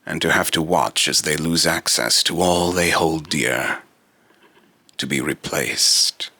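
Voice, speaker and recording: A man voicing a solemn, otherworldly immortal being in a deep, raspy robotic voice, with grandiose, archaic, halting phrasing in a dramatic monologue. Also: pushed and bright, no room sound, heavily acted. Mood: mournful.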